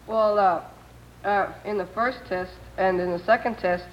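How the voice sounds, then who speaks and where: A male quiz panelist, answering off the cuff in a halting, stammering way. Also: sits high, steady tone. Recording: muffled, noisy 1940s radio recording.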